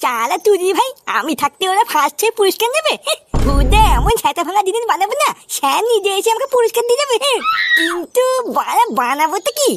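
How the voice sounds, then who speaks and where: A woman's voice: very high-pitched, big pitch swings, quick pace, a bit bright, a little hiss or hum, full character voice. A woman voicing a cocky, foul-mouthed young schoolboy in a comic cartoon, brash and boastful, with a West Bengali accent.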